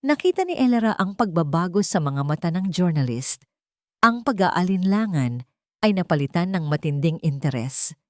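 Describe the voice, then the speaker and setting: A woman narrator tells a dramatic story in a scripted style. Her voice sits a little low and moves widely in pitch. The recording is close and dry. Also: very animated, moderate pace, no room sound.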